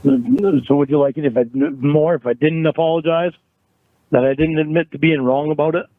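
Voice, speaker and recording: A man in a recorded phone argument, talking in a halting, defensive way and repeating words as he restarts. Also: a little high, fairly even intonation, dry recording. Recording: tinny phone line recording.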